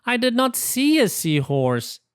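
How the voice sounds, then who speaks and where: A woman voicing an easygoing, excitable young male cat in a children's storybook, wide-eyed and enthusiastic. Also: on the high side, unhurried, clean tone, no echo, studio quality, pitched above the performer's own voice. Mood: delighted surprise.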